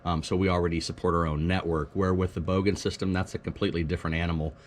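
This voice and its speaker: A man with a low voice walks through an informal product demonstration. He explains things in a matter-of-fact way, like a staff member presenting to colleagues. There is faint noise in the background of the recording. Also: level tone, racing. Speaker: school technology staff presenter.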